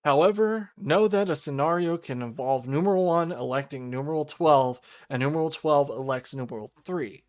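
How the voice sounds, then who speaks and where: A man streaming a visual novel, reading the game text aloud in a formal, measured storytelling style. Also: fairly high pitch. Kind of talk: dramatic read-aloud narration of game text. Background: video game music.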